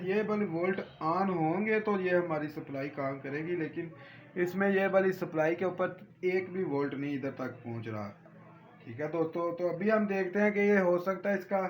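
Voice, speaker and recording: A man giving an informal hands-on repair tutorial, speaking straight to viewers and repeating his points. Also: high-pitched. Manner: addresses viewers directly, repeats points and checks for understanding. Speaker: electronics repair tutorial host. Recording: echoey room, background noise.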